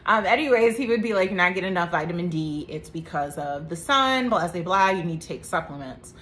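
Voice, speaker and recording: A woman's voice: on the low side, expressive, fairly quick, a bit bright, slight background noise. A woman in her thirties giving a casual health talk to camera, chatty, with joking asides. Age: 30s. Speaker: vlogger.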